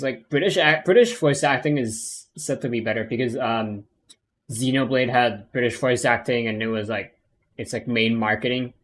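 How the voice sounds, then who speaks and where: A man streaming a game, chatting casually and rambling with lots of fillers. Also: medium pitch. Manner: rambling, many fillers and restarts. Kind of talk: casual gaming commentary.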